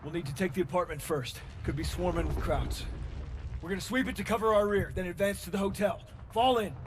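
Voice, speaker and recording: An actor playing a gruff, commanding American army sergeant who barks orders in a war game. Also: male voice, high-pitched, a little fast, background noise, close to an everyday voice. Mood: firm, commanding. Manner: barking, forceful orders. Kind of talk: cinematic war game acting.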